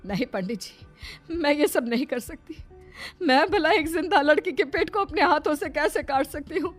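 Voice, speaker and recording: A woman playing an anxious but brave heroine in an animated horror story, earnest and dramatic. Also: high voice, normal speed, faint music behind. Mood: distressed.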